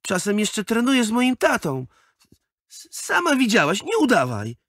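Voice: mid-range voice, huge pitch swings, moderate pace, a bit bright, no room sound, studio quality, exaggerated voice. A performer playing a proud, sulky young schoolboy athlete in a children's audio drama, defensive and prone to stammer. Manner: defensive, stammering when flustered.

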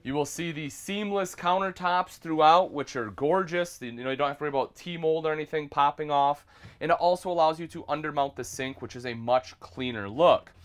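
Upbeat man giving a trailer tour. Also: fairly high pitch. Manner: upbeat salesy, addresses viewers as folks. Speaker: RV product specialist. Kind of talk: product walkthrough tour. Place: inside a small travel trailer.

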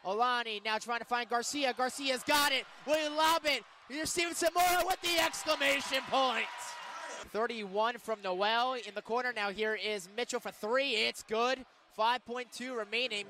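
This is a man with a very high-pitched voice calling basketball live on the radio. He is an excitable announcer who raises it to a shout for big plays. He is in an indoor arena. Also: a little hiss or hum.

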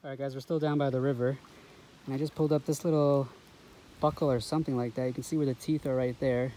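A man's voice: mid-range voice, flat delivery, fast talker, dark tone, low-quality recording. A man giving informal handheld vlog commentary on his metal detecting, talking to his viewers as guys, recorded on a phone outdoors. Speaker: metal detecting video host. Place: mostly outdoors in grass and along a river. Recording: clear background noise, outdoor ambience.

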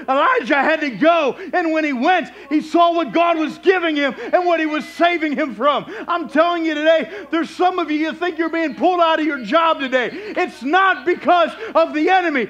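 High-pitched man preaching with fervor. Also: a bit bright, muffled recording. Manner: declamatory, exhorting, repetitive phrasing. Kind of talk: fervent charismatic revival sermon.

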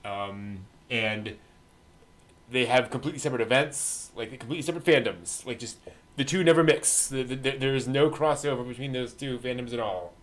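A middle-aged man co-hosting a news show in a casual, chatty way with a halting delivery. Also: mid-range voice. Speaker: co-host of an anime news show.

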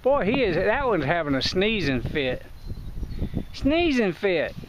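A man's voice: very animated, measured pace. An older man with a Southern Midwest American accent, talking casually to camera beside a rural pasture, folksy and chatty, with the camera held at arm's length. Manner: folksy, talks to llamas in a high coaxing voice. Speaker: cyclist vlogger. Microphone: handheld camera at arm's length. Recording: outdoor wind noise.

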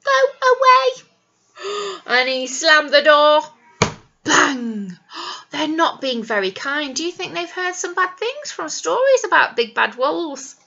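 A woman teacher talks to small children as she shares a picture book. Her voice is bright and rather high, swinging widely in pitch. She explains things and keeps checking in with questions. The sound is slightly dull. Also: very animated, slow pace, gravelly. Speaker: primary school teacher. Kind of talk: reading a picture book aloud to young children. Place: small indoor classroom. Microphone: webcam or device at arm's length.

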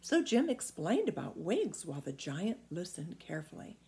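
A woman's voice: on the low side, big pitch swings, moderate pace, vocal fry, mellow. A middle-aged woman reading a children's storybook aloud expressively, recorded in a room at home. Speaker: storybook reader. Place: small indoor room at home.

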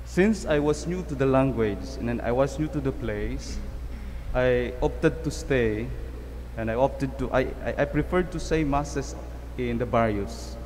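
A man's voice: medium pitch, a little slow, darkish tone, slight background noise. A Filipino priest giving a conversational homily at a lectern mic in a church, telling a story and often repeating his words. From Philippines. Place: church sanctuary. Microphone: stand mic at lectern. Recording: reverberant church, faint ambience.

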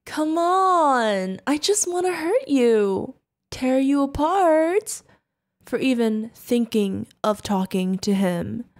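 A woman's voice: high voice, very animated, slow pace, full character voice. A woman voicing an insane, sadistic female yandere killer in an ASMR roleplay, creepy and giggling, with teasing, singsong threats. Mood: sinister, obsessive. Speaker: voice actor.

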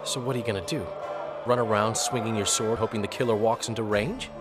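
A man's voice: on the low side, steady tone, quick pace, natural voice. An actor playing a cheeky, eloquent male poet in a video game, talkative and a little flowery. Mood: worried, exasperated. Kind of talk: video game character acting. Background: music.

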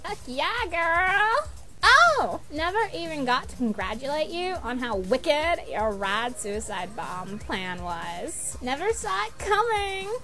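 A woman voicing an exuberant, brash young troll gamer girl who talks in drawn-out slang in an amateur cartoon fandub. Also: sits high, sing-song, full character voice. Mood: gushing, excited. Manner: exuberant surfer-style slang, drawn-out exclamations. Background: music.

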